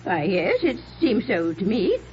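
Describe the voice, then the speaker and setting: An actress playing a sweetly dotty, chatty, hard-of-hearing retired schoolteacher of about sixty, prim, gossipy and giggly, in 1950s radio drama style. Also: female voice, mid-range voice, wildly swooping pitch, unhurried, a little mellow. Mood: sweetly muddled. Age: around 60. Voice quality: giggly, fluttery delivery. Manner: gossipy, prim, easily muddled. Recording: muffled, noisy vintage radio recording.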